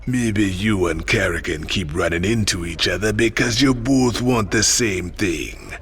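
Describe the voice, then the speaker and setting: An actor playing a brooding, cryptic psychic outlaw in a video game, with a Caribbean accent and a gravelly, ominous way of speaking. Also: male voice, low-pitched, expressive, a bit bright, heavily acted. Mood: knowing, cryptic. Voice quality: gravelly, often breathy. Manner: slow, ominous, knowing. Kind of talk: video game cutscene acting. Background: music.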